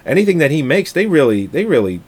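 A man's voice: fairly high pitch, sing-song, quick pace, husky. A man co-hosting a casual movie podcast, joking crudely and laughing often as he chats about films. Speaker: podcast co-host.

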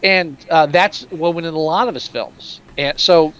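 A man's voice: a little high, moderate pace, a bit bright, smooth. A man co-hosting a casual movie podcast, opinionated and profane, talking through films with energy. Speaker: podcast co-host.

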